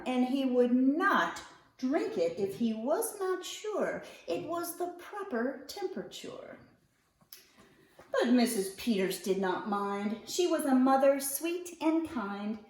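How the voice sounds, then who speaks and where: A woman reading a picture book aloud to young children, expressively, with deliberate phrasing and drawn-out key words. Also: high-pitched, a little mellow. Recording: roomy echo, distant mic.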